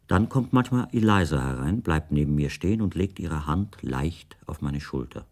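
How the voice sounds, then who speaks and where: A man playing a brooding, self-assured writer who tells his story in measured, literary radio drama narration, often dropping to a breathy near-whisper. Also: very deep voice, animated, a little mellow, no room sound. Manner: measured, deliberate, ornate phrasing. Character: brooding, self-assured bearded male writer, a confined houseguest recounting his life.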